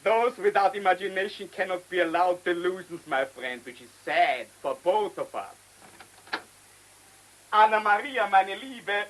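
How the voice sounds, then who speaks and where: Suave, mocking German avenger, bright voice. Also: male voice, high-pitched, expressive, measured pace, low-quality recording. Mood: mocking, gleeful. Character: cunning German-speaking man, a mocking, self-assured confessed avenger. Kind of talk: radio drama acting. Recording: hissy old radio play recording.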